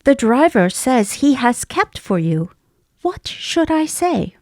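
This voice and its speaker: A woman's voice: high-pitched, sing-song, slow pace, a little mellow, no room sound, studio quality, exaggerated voice. An actress playing a timid, deferential servant woman in an audio drama, speaking hesitantly. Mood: timid, anxious. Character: timid deferential female servant bringing word.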